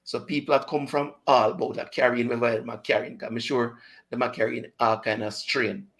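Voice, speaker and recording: A man's voice: medium pitch, steady tone, fairly quick, fairly bright tone. A man with a Jamaican accent hosting a chat show, talking casually, slipping into patois and laughing often. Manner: chatty, mixes patois into speech, laughs often. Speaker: radio show host.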